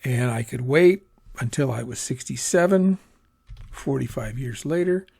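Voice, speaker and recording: A man teaches personal finance in a loose, rambling way, often stopping to fix what he just said. His voice is gravelly with a husky edge, and his pitch sits in an ordinary middle range. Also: animated, measured pace, a little mellow. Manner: conversational, rambling, self-correcting, acts out quoted asides. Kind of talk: informal lecture on personal finance.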